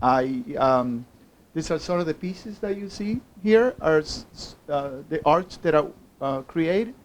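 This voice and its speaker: A man from Cuba with a Cuban accent informally presenting his own artwork in a halting, hesitant way. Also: fairly high pitch, animated. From Havana, Cuba. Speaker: artist.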